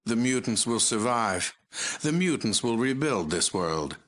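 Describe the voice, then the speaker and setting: A man's voice: medium pitch, big pitch swings, fairly bright tone. A man voicing a megalomaniac mutant overlord in a video game, cold, menacing and commanding. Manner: slow, commanding, imperious. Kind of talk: video game villain voice acting.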